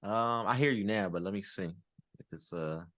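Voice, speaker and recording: A man's voice: lowish voice, normal speed, fairly bright tone, no room sound, studio quality. A man hosting a podcast in casual interview chat, laid back and full of fillers as he hypes up his guests. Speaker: podcast host.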